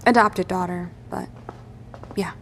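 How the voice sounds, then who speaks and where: An actress playing a helpful, matter-of-fact military lieutenant in an audio drama, speaking briskly and plainly. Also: female voice, fairly low pitch, steady tone, fairly bright tone, a little hiss or hum. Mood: businesslike. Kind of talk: naturalistic audio drama dialogue.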